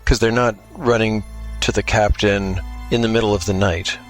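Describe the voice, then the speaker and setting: A man co-playing a story game, casually planning the plot and thinking aloud in a halting way. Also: on the low side, fairly even intonation, faint music behind. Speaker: co-player of tabletop story game.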